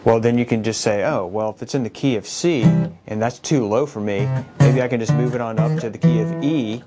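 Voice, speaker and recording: A man giving a casual guitar lesson, explaining chord progressions and transposition in a relaxed, conversational way while he plays. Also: medium pitch, brisk. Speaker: guitar teacher. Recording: loud guitar under the voice. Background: guitar played by the speaker.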